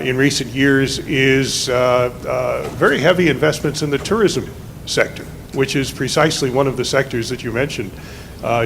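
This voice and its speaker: Male audience questioner, medium pitch, halting and polite, faint noise behind him. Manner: hesitant, full of "uh" fillers, polite. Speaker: audience member asking a question. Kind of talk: question from the floor at an academic panel. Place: conference hall.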